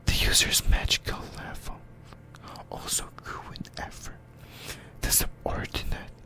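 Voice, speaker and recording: Someone whispering in a breathy, unvoiced voice, giving an informal ASMR-style fan discussion of anime with some mild swearing. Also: unhurried. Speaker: YouTuber, host of an anime fan channel.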